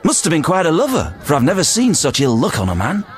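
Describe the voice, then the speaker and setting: Wildly expressive wheedling gambler. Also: male voice, on the high side, fast. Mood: sly. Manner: polite, flowery, insinuating. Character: smooth-talking, wheedling male dice gambler. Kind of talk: video game dialogue acting.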